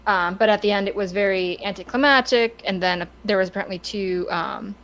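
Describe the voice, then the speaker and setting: A woman's voice: medium pitch, a little fast, a bit bright. A woman chatting casually and giving her opinions on a podcast, stammering and restarting her sentences often. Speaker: podcast co-host.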